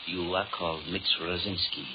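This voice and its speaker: Man playing a very deep, sinister stranger. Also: level tone, natural voice. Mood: sinister calm. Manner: slow, measured, formal, ominous. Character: mysterious, menacing stranger with sinister calm. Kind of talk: 1930s radio horror acting. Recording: noisy muffled 1930s radio recording.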